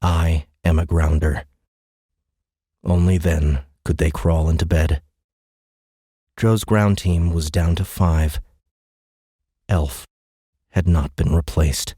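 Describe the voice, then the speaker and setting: A man's voice: sits low, slow, long pauses, mellow, husky, dry recording, studio quality. A man reading a science fiction audiobook in scripted dramatic narration.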